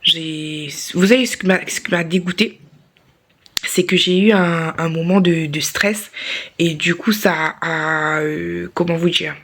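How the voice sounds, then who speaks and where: A woman's voice: fairly low pitch, steady tone, measured pace, a bit bright, a little hiss or hum. A woman with a sore throat, chatting casually to camera in a rambling vlog with many restarts. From Réunion. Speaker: vlogger.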